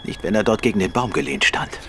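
An actor playing a composed, sharp-witted gentleman detective in a radio play. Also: low-pitched, expressive, fast talker. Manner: composed, quietly assured. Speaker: amateur detective, lead. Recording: noisy radio play recording.

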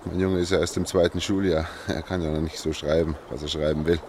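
Deep-voiced, wistful father played by an actor. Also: little pitch movement, fast, darkish tone, slight background noise, lightly acted. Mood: wistful, tender. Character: lonely, wistful father clinging to his son's letters. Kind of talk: German radio play monologue.

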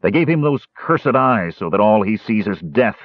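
A man playing a big, gruff, drunken warrior, gloomy and self-pitying, in a fantasy audiobook. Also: low-pitched, sing-song, a little slow, dry recording, muffled recording, heavily acted. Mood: anguished.

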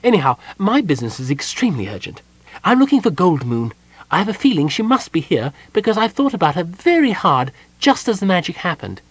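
A man's voice: high voice, sing-song, brisk, husky, no room sound, voice pushed up, full character voice. A man voicing a cheerful, polite, chatty small male kender wanderer in a fantasy audiobook. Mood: hurried, eager. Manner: polite, eager, apologetic.

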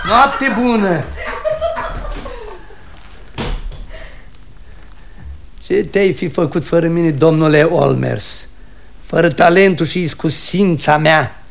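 An actor playing a foppish, gullible, self-satisfied suitor in a radio comedy, speaking in a florid, affected, gleeful way. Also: male voice, on the high side, gravelly, a little hiss or hum. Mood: smug, gleeful. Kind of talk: comic radio theatre acting.

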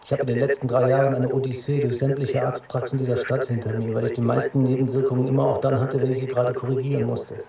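An actor playing an anxious hypochondriac who hesitantly confesses to a video diary in a radio drama. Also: male voice, mid-range voice, flat delivery, quick pace, vocal fry, few pauses, warm tone, close to an everyday voice. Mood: confessional, nervous. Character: anxious, self-doubting hypochondriac technical editor. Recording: distant, echoey, muffled homemade video sound.

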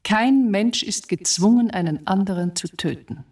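A woman's voice: medium pitch, normal speed, darkish tone, recorded from a distance, natural voice. An actress in a radio drama playing a wounded, accusing dead wife who reproaches her husband as if in a dream.

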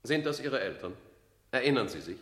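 An actor playing a formal, commanding official who keeps order at a hearing in a German radio play. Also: male voice, medium pitch, animated, fairly quick, a bit bright, lightly acted. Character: official master of ceremonies keeping order at a hearing.

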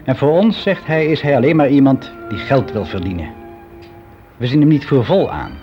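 An actor playing a weary, resigned office clerk around sixty, confiding in a halting, reflective way in a naturalistic radio play. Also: male voice, medium pitch, animated, soft background music, natural voice. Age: 60s. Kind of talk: naturalistic radio drama monologue. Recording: dull, noisy radio play recording.

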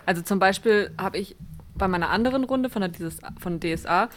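A woman's voice: medium pitch, quick pace, a little hiss or hum. A young woman co-hosting a casual podcast chat, talking with many fillers and restarts. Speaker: podcast co-host.

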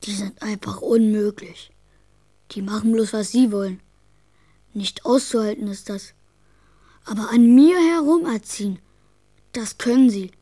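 A woman's voice: medium pitch, mellow, no echo, studio quality, natural voice. A woman playing a sulky, exasperated young boy's inner voice in a German children's radio drama. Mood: indignant.

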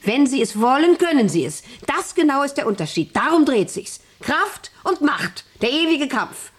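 A woman's voice: high-pitched, sing-song, normal speed. An actress playing an imperious, bitter female factory boss with a commanding, scornful and intense delivery in a radio drama. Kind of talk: German radio drama acting.

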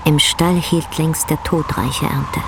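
A woman performing as the grave, intense narrator of a bleak literary radio drama, telling it in a measured way. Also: deep voice, steady tone, noisy background. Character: female storyteller of a bleak rural tale, grave and intense. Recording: music under the voice.

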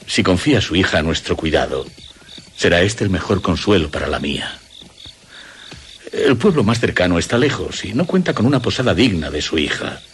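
A man's voice: sits low, slight background noise. An actor playing a middle-aged, courtly aristocratic father in a Castilian Spanish radio drama, with formal, gallant diction. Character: courtly, kindly aristocratic father of a young woman.

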